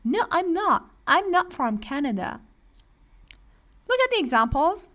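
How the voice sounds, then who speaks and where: A woman's voice: extremely high pitch, big pitch swings, unhurried, a bit bright. A woman with a Persian accent teaching English to adult beginners, patiently explaining grammar and asking students to repeat. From Iran. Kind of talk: classroom lesson for adult beginners.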